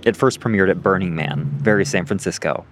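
A man's voice: sits low, a little fast, music in the background. A male reporter narrating a scripted radio feature, partly recorded outdoors in a city park.

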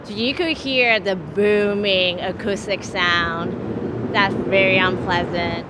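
A woman's voice: medium pitch, steady tone, slow. A woman urban planner from Taiwan explaining things casually while walking outdoors under a freeway overpass. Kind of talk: interview on a walk. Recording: street recording with traffic noise.

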